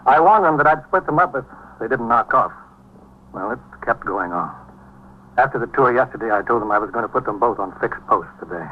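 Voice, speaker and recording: An actor playing a plain-spoken, dutiful police sergeant in a terse, matter-of-fact 1950s radio drama style. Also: male voice, medium pitch, lively intonation, a little fast, lightly acted. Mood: reporting, deferential. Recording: dull, noisy 1950s radio recording.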